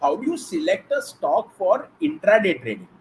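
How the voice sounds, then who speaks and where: A man's voice: high voice, wildly swooping pitch, normal speed, low-quality recording. A man with an Indian accent hosting and moderating a live question-and-answer show. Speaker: host. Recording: faint background noise.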